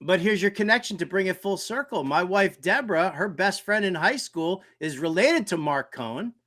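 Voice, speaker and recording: A man's voice: high voice, animated, normal speed, sharp tone. A middle-aged man hosting a casual sports talk show, emphatic and repeating phrases for effect. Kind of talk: casual radio chat.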